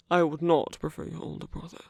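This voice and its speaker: A man playing a gentle, nervous king in an intimate ASMR roleplay, speaking formally and earnestly with soft hesitations. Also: fairly high pitch, creaky, slightly rough, no room sound, clean studio sound. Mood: amused, reassuring. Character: gentle, nervous king confessing love to a prince.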